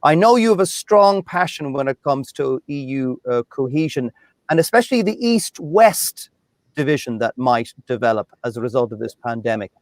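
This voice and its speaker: A man's voice: medium pitch, sing-song, moderate pace, no echo. A man moderating a panel, polite and conversational as he brings in a speaker.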